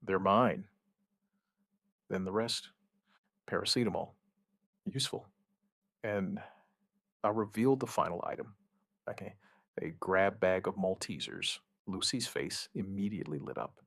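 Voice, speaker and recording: A man playing an outbreak survivor who gives a wry, weary witness account in an audio drama, in short, halting, understated phrases. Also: deep voice, vocal fry, fairly bright tone, no echo, studio quality, full character voice. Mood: wry, light. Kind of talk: scripted first-person audio drama narration.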